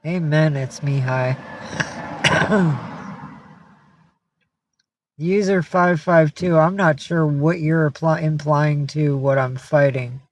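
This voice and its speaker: A man's voice: fairly high pitch, fairly even intonation, takes it slowly, long pauses, muffled recording, a little hiss or hum. A man hosting a casual live stream, answering viewer comments and rambling with lots of fillers and restarts. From San Francisco Bay Area.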